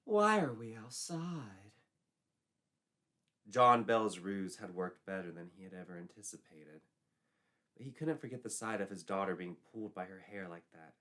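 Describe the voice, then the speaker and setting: A man's voice: sits low, moderate pace, pushed and bright. A man narrating a folklore tale as a storyteller, in a dramatic scripted style.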